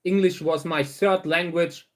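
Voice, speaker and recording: High-voiced man chatting on a stream. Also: steady tone. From Germany. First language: German. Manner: rambling and unhurried, often restarting words. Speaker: host of a livestream channel. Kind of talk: casual livestream chat, answering viewers.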